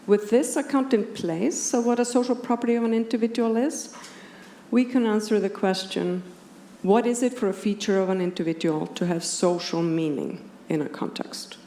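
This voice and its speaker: A woman from Iceland with an Icelandic accent, a native speaker of Icelandic, giving a measured, precise academic lecture at a conference. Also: mid-range voice, warm tone. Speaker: academic philosopher presenting at a conference. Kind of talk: academic lecture, partly scripted. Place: indoor conference room with audience. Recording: roomy conference hall, clearly audible background noise.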